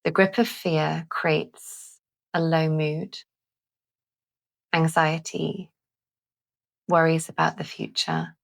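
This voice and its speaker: A woman leading a calming guided visualisation in a gentle, deliberate manner with long pauses. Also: low voice, creaky. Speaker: guided meditation host.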